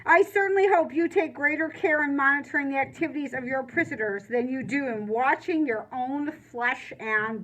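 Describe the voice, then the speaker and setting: An indignant, haughty parent dictating a formal complaint, read out by a woman from a children's novel. The voice is high. The delivery is pompous and emphatic, with every grievance stressed. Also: a bit bright, low-quality recording.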